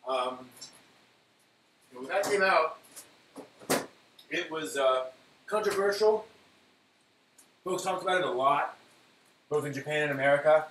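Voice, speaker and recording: A man's voice: on the high side, measured pace, long pauses, a bit bright. A man hosting a casual live stream, chatting in an offhand way as he thinks aloud. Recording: roomy echo, faint hiss.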